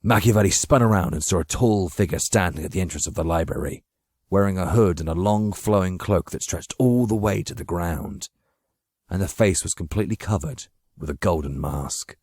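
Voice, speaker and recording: A man narrating a dramatic audiobook from a script, with measured, literary storytelling. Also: sits low, a little mellow, dry recording, clean studio sound.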